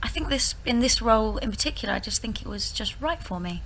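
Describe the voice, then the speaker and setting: A woman's voice: fairly high pitch, lively intonation, fast, fairly bright tone, slight background noise. A woman in her thirties, a musical theatre actress, chatting hesitantly and laughing in an interview. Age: 30s. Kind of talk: casual interview chat.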